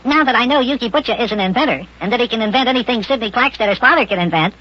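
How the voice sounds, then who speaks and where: A man voicing an eager, mischievous, boastful little boy in a children's radio serial, with a high, raspy, sped-up voice. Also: very fast. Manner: chattering, boastful, plays at being important. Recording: dull lo-fi old radio recording.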